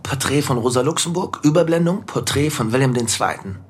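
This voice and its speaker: An actor playing a playful, easily distracted filmmaker sidekick in a German radio drama. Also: male voice, mid-range voice, a little fast, slight background noise, lightly acted. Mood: dreamy, distracted. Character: flippant, dreamy filmmaker sidekick named Lester.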